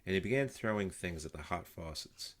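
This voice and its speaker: An older man reading a children's story aloud in an animated storytelling style. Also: low voice, brisk. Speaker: storybook reader.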